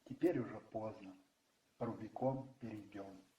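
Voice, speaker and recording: A man's voice: on the low side, unhurried, mellow, muffled recording, slight background noise, close to an everyday voice. A male narrator voicing a troubled, brooding husband in a radio play, dramatic and rueful. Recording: roomy echo, distant, faint music behind.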